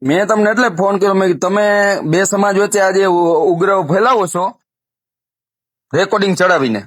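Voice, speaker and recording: A man's voice: high voice, normal speed, no echo, clean studio sound. A man in a heated phone conversation, firmly admonishing the other party and repeating himself. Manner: firm, admonishing, repetitive. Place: recorded phone call.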